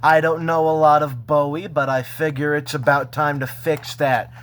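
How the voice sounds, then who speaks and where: A man talking casually and unscripted as he shows off a personal collection, halting between phrases. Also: a little high, flat delivery, fairly bright tone, a little hiss or hum. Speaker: vlogger showing a personal collection. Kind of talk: casual unscripted show-and-tell.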